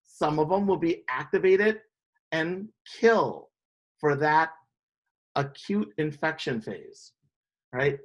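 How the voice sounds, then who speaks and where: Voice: mid-range voice, lively intonation, slow pace, pauses often, rough recording. Lecturer from Indonesia checking in often. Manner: explanatory, often checks in with a rhetorical "right?". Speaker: biology instructor. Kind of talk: classroom lecture.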